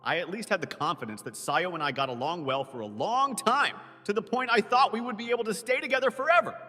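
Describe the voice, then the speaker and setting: Manga dub lead: male actor as earnest lovesick young man, bright and high, anxious inner monologue, music behind. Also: fast, in a large hall. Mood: earnest, wistful. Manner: earnest, self-doubting inner monologue with startled outbursts. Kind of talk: animated comic dub with first-person narration. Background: background music.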